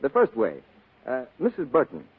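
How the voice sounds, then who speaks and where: A man's voice: huge pitch swings, normal speed, slightly rough. An American man working as a radio announcer, delivering an emphatic scripted 1940s commercial. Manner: emphatic sales pitch. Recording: tinny 1940s radio broadcast.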